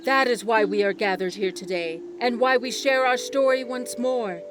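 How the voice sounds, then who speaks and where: A woman playing a devout island priestess in an audio drama, telling sacred history as solemn, reverent, measured oratory. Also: on the high side, music in the background, lightly acted. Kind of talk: ceremonial storytelling in an audio drama.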